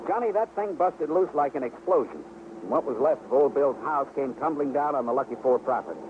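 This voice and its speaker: A man's voice: mid-range voice, expressive, fairly quick, close to an everyday voice. Folksy American rancher, acted by a man, thin noisy radio. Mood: earnest. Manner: folksy, chatty storytelling. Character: friendly, plain-spoken American ranch owner, male. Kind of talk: 1950s radio drama acting. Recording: noisy, phone-like old radio recording.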